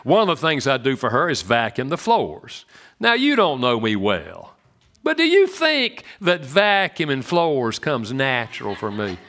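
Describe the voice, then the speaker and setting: A man with a Southern US accent giving an animated, joking church talk on marriage to an audience. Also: a little slow. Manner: animated and joking, swoops in pitch. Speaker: speaker on marriage.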